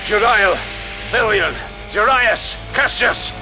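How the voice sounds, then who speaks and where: A man acting a stern, proud superhuman knight commander in an audio drama, grave, defiant and gravelly. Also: sits high, slow pace, over music, poor audio. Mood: urgent calling.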